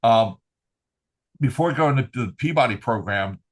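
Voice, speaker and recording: A man's voice: medium pitch, lively intonation, unhurried. Older man telling stories with many fillers. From Finland. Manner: rambling, many "uh" fillers, good-humored. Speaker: guest, retired military trumpeter. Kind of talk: relaxed podcast interview storytelling.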